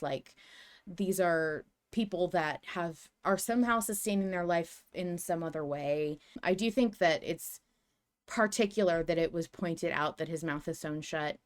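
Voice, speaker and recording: A woman's voice: low-pitched, moderate pace. A woman hosting a podcast, talking through ideas in a casual, musing fan discussion. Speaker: podcast host.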